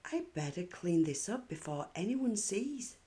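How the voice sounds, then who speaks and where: A woman's voice: medium pitch, very animated, measured pace, dark tone, pitched above the performer's own voice. A woman voicing an earnest, eager little girl in a children's storybook. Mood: flustered, pleading.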